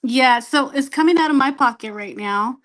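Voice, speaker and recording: A woman's voice: high-pitched, normal speed, a bit bright. A woman from the United States giving casual, conversational answers as a guest on a talk show. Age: adult, doctoral student. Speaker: non-profit founder, show guest.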